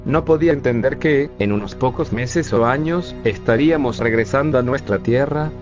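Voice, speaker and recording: A man's voice: mid-range voice, moderate pace, natural voice. A synthetic male voice voicing a professor who bitterly recalls his days as a young refugee. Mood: defiant. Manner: bitter, sarcastic storytelling. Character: urban planning professor recalling his youth. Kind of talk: scripted narration. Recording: music bed underneath.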